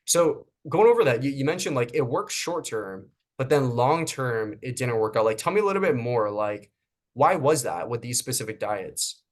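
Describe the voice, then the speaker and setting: A man's voice: mid-range voice, lively intonation, moderate pace. A man hosts a casual interview as a fitness coach. He sounds friendly and encouraging, filling his questions with "um" and little restarts.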